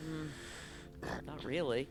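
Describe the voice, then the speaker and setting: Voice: mid-range voice, fairly even intonation, slow pace, faint music behind, natural voice. Game master playing a hedging, stammering shady middleman. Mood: wary, noncommittal. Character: evasive shady middleman under questioning.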